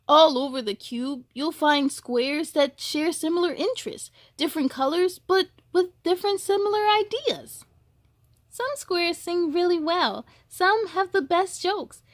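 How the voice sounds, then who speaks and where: A woman's voice: sits high, dry recording, clean studio sound. A woman voicing young cube squares in a storybook, speaking earnestly and persuasively.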